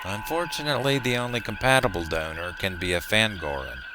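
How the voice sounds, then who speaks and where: A man playing a steady, commanding starship captain in a sci-fi audio drama. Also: on the low side, a little fast, music in the background, lightly acted. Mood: composed, authoritative.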